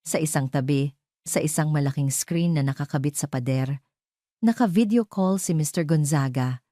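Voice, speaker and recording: A woman's voice: low-pitched, moderate pace, mellow, no echo, studio quality. A woman narrating a scripted dramatic story.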